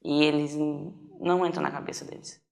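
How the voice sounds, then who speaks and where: Young brazilian guy, 20s, really creaky voice, talking about himself in an interview, kinda halting. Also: fairly high pitch, little pitch movement. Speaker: documentary interviewee. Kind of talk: personal testimony, casual interview.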